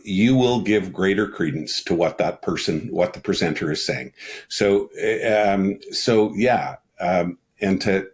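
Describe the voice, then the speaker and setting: A man speaking as a guest in a casual panel discussion, blunt and wry in his opinions. His voice is very low, near the bottom of the male range.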